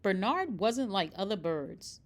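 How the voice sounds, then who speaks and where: Unhurried, animated woman reading a storybook. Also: medium pitch. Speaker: storybook reader. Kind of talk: expressive scripted narration for children.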